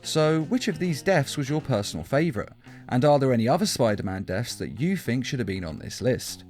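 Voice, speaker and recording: A man's voice: mid-range voice, big pitch swings, fairly quick, a little mellow. A man reading a scripted countdown as an emphatic, opinionated fan commentator. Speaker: video list narrator. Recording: music behind the voice. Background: guitar backing music.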